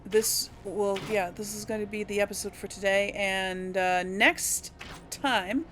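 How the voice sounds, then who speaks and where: Slow-paced, slightly gravelly woman gamer. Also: mid-range voice, a bit bright. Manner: musing, talks to herself while playing. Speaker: gaming video host. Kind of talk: casual let's play commentary.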